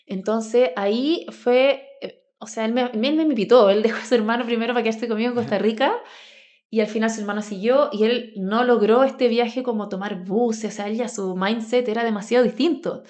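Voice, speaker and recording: A woman's voice: on the high side, steady tone, brisk, darkish tone. A Chilean woman talking conversationally as a podcast guest, telling personal stories in a colloquial way full of asides. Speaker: podcast guest, grounding advocate.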